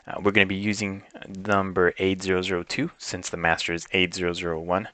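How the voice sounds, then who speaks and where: A man giving an informal, unscripted step-by-step software walkthrough as a tech instructor, explaining each setting as he goes. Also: deep voice, level tone, a little fast, no room sound.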